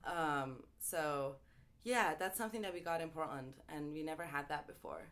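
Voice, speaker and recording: A woman's voice: sits low, normal speed, a bit bright. A young woman from Iran, a guest in a casual interview, talking thoughtfully with frequent "um" and "like" and easy laughter. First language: Farsi. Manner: thoughtful, frequent ums and likes, laughs easily.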